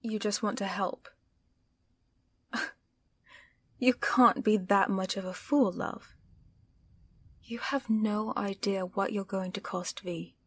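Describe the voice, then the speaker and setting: A woman's voice: medium pitch, fairly even intonation, slow pace, long pauses, fairly bright tone, husky. A British woman playing a sly, teasing supervillain in an audio roleplay, wry, knowing and probing.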